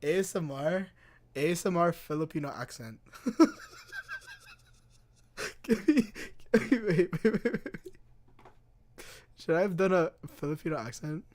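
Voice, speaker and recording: A man's voice: on the high side, lively intonation, unhurried, long pauses, darkish tone. A man streaming, chatting casually and animatedly as he reacts to videos, swearing and laughing along.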